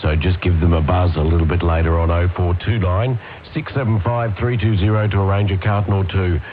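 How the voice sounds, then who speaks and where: A man's voice: sits low, steady tone, a little fast, a little hiss or hum. A man doing a conversational radio advert read in a casual, hesitant manner. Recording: dull lo-fi radio sound. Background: faint music.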